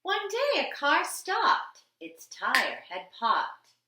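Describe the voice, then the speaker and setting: A woman reading a children's picture book aloud like a teacher, with an expressive, deliberate, sing-song delivery on the rhymes. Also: sits high, reverberant, slight background noise. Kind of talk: animated children's storybook reading.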